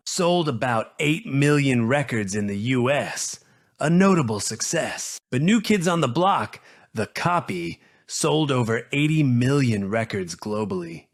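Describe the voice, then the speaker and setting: A man narrating a scripted video essay as its host, in an earnest, dramatic manner with short, measured rhetorical phrases. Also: mid-range voice, no room sound, clean studio sound.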